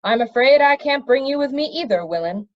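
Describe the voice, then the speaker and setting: A woman's voice: sits high, lively intonation, brisk, lo-fi sound, pitched above the performer's own voice. A woman voicing a harried, courteous king in a storybook, speaking in a formal, emphatic way. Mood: commanding, apologetic. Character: courteous, harried young king, commanding yet resigned.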